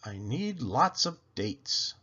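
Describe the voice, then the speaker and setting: Man putting on an eager storybook housekeeper lady, high-pitched. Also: very animated, slow pace, sharp tone, husky, highs cut off, heavily acted. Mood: puzzled, pondering. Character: literal-minded, cheerful, eager housekeeper woman.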